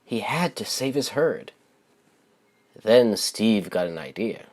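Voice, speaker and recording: A man reading a children's story aloud as its author, with simple, deliberate phrasing and expressive stresses. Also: on the low side.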